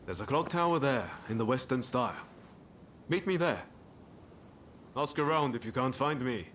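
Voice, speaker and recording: A man's voice: medium pitch, expressive, normal speed, a bit bright, a little hiss or hum, natural voice. An actor playing a jaunty, roguish ronin with big ambitions in a video game cutscene, breezy and playful, drawing out his phrases. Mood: breezy, confident.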